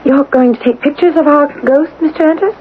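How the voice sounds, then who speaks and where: Flustered, high-voiced young wife played by a woman. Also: close to an everyday voice. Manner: nervous, stammering, halting. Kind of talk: 1970s radio drama acting. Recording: lo-fi, noisy old radio broadcast.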